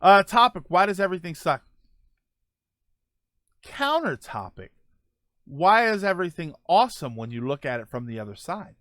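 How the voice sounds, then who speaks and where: A man with a fairly high voice hosts a relaxed live chat. His intonation swings widely as he rambles and jokes through answers to viewers. The sound is dry, with very little room in it. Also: moderate pace, pauses often, no room sound. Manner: rambling, joking, animated. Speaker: podcast host answering viewer questions. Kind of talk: casual live stream chat.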